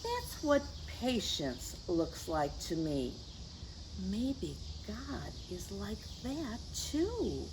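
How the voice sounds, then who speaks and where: A woman reading a picture book to young children in a gentle, teacherly way, with chatty asides to her listeners. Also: mid-range voice, wildly swooping pitch, extremely slow, noisy background. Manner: gentle teacherly address, tag questions to the listeners. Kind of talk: read-aloud story time for young children with chatty asides. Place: outdoors.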